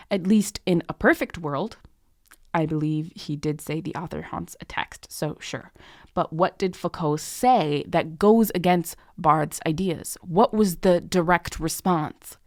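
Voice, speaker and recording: A woman playing a snarky but soft-hearted young tutor in an ASMR romance roleplay, halting and sweary, with sighs and a tendency to drop into whispers. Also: lowish voice, no room sound, studio quality. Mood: exasperated, harsh. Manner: halting, awkward, sweary, often sighing and dropping to whispers. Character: harsh, snarky young female tutor turned flustered, guilty comforter.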